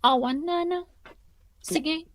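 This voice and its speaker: A woman's voice: extremely high pitch, slow. A woman with an Ilocano Filipino accent playing a young maid who pines for her master in a radio comedy drama. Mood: crestfallen.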